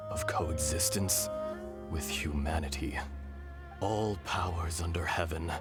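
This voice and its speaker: Benevolent ancient spirit, low register, slow measured pacing, solemn, formal, fantasy game drama. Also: male voice. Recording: voice under loud music.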